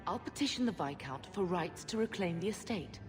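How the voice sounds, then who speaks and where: A woman playing a determined, composed young heroine in a video game, speaking in a measured, resolute way. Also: lowish voice, animated, natural voice. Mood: resolute, calm. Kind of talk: video game cutscene acting. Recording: long hall reverb, music behind.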